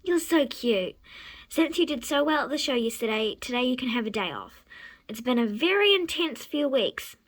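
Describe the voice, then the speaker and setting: A woman's voice: sits high, animated, normal speed, low-quality recording. A woman playing an affectionate horse owner in a story, talking sweetly and dotingly to her pony. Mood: fond, soothing.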